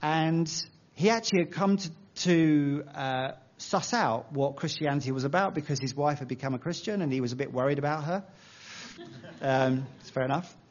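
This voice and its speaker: English male preacher, casual self-deprecating delivery, slightly high voice, dull muffled recording. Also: moderate pace. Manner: conversational, self-deprecating, uses analogies. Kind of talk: sermon.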